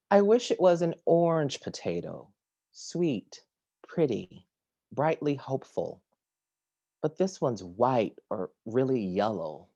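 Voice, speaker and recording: Male poet, home video-call reading; slow, deliberate delivery, mid-range pitch, halting intros. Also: darkish tone. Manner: slow, measured reading with hesitant filler-laden introductions. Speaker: poet reading at a virtual series. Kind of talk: poetry reading. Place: home kitchen or similar domestic room.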